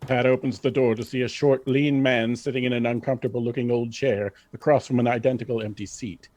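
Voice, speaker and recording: A man's voice: mid-range voice, steady tone, brisk, a little mellow. A man narrating and announcing a scripted radio drama.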